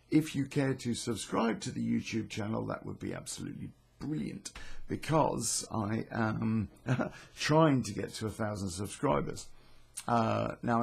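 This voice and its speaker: Croaky British man, gravelly voice. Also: lowish voice, darkish tone. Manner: rambling, self-deprecating, swears freely. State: hoarse from illness. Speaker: podcast host and storyteller. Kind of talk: casual chatty intro to a podcast.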